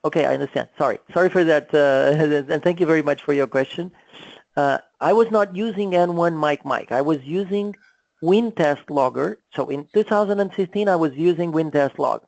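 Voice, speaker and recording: A man with a fairly high, creaky voice explains technical matters informally. He speaks haltingly, doubling back on words. The recording is dry and a bit muffled. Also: a little mellow, no room sound. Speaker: guest presenter explaining a radio contest technique.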